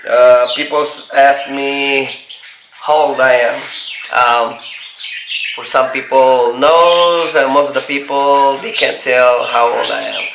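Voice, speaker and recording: A forty-year-old man from the Philippines answering viewer questions in a casual vlog, halting with many fillers and restarts. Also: medium pitch, fairly even intonation, gravelly, fairly bright tone, roomy sound, a little hiss or hum, rough recording. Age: 40s. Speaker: car vlogger.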